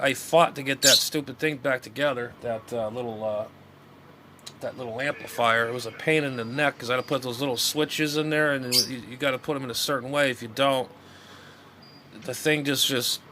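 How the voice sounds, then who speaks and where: A middle-aged man giving casual, rambling vlog commentary as a radio hobbyist, muttering, repeating himself and trailing off. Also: mid-range voice. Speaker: amateur radio hobbyist showing his gear. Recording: noisy recording with steady background noise.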